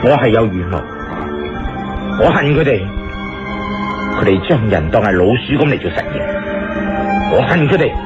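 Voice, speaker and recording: Bitter crazed killer, radio drama, hateful, swings wildly up high, dull old sound w music. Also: male voice, big pitch swings, moderate pace, long pauses. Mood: bitter, resentful. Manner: intense, hate-filled delivery. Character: vengeful male killer, bitter, unhinged, laughing madly. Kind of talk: Cantonese radio drama acting.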